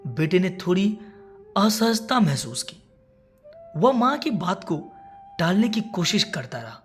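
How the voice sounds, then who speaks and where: A woman's voice: fairly low pitch, big pitch swings, takes it slowly, faint music behind. A woman narrating a scripted, emotional moral story as a storyteller.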